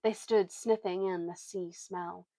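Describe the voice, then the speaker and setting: A woman reading a children's novel aloud as expressive storytelling narration, now and then correcting a slip. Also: on the low side, a little slow, no room sound.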